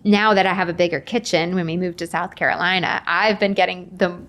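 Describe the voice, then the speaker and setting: A woman in her forties chatting casually as a podcast guest, telling personal stories in a candid, playful, animated way and acting out quotes. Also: fairly low pitch, brisk. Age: 40s. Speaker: podcast guest, memoir author. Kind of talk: casual chatty interview storytelling.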